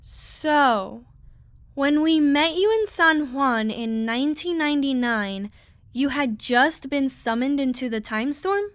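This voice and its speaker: A female actor playing an excited, inquisitive child in an audio drama. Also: high voice, slow, slight background noise. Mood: excited, curious.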